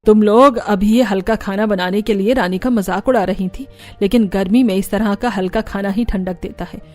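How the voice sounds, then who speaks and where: A woman's voice: mid-range voice, moderate pace, darkish tone, soft background music, lightly acted. A woman voicing a firm, fair-minded older mother-in-law in a cartoon, scolding yet reasonable. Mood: approving, calm.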